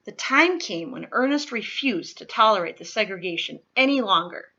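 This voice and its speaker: A woman reading a children's nonfiction picture book aloud, with deliberate, emphatic phrasing for young listeners. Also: mid-range voice, expressive, pushed and bright, highs cut off. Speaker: read-aloud reader.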